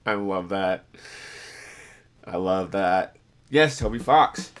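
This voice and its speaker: A man with a slightly stuffy nose chatting casually with live stream viewers in an excitable, playful, rambling way. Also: deep voice, takes it slowly. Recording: music behind the voice. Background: background music with guitar.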